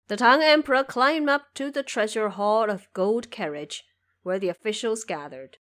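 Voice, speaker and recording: Higher-voiced woman narrating an audio drama. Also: a bit bright. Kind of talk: dramatic scripted storytelling.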